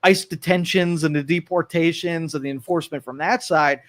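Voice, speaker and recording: A man co-hosting a casual political talk show, animated and quick to act out what others might say. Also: fairly high pitch. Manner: animated, acts out quoted voices.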